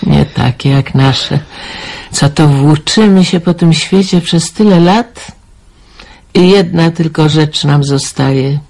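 A man's voice: a little high, slow pace, gravelly, darkish tone, full character voice. A man voicing a worried elderly mother in an amateur radio play, sighing and fretful.

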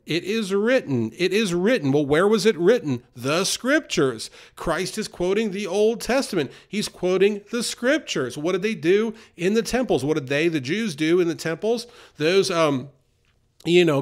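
Man, earnest informal sermon, fillers and restarts, slightly higher voice. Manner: earnest, repeats himself with many fillers and restarts. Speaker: preacher.

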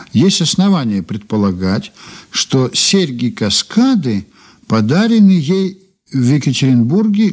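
An actor playing a brisk, wryly intrigued male investigator in a Soviet radio drama, reading deliberately and expressively. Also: medium pitch, a little mellow. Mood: measured, official.

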